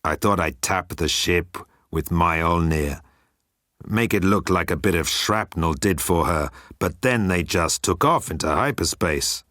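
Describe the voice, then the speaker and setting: A man voicing a slow, ponderous thunder god. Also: low-pitched, bright, forceful tone, dry recording, clean studio sound. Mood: earnest, pleased. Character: big slow-witted hairy thunder god.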